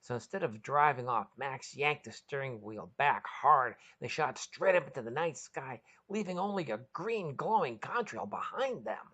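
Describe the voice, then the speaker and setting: Very low-voiced woman narrating, lively pitch. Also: normal speed, few pauses, husky, highs cut off. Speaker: podcast host and story narrator. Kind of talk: scripted short story narration.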